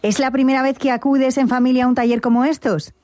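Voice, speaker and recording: A woman's voice: sits high, fairly even intonation, quick pace, mellow, no echo. A woman hosting a live radio show the studio, friendly and playful with her callers. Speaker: radio host.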